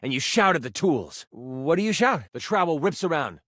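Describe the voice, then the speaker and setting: A man's voice: a little high, very animated, moderate pace, fairly bright tone, no echo, clean studio sound. A man narrating a fantasy adventure as a game master, in a theatrical storytelling manner addressed to the player. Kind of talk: dramatic second-person fantasy narration in a video game.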